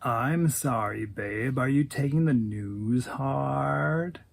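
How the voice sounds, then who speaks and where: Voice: medium pitch, animated, very slow. A storyteller voicing a sheepish, evasive boyfriend who is hesitant, defensive and placating. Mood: mumbling, apologetic. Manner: hesitant, stammering, repeats himself.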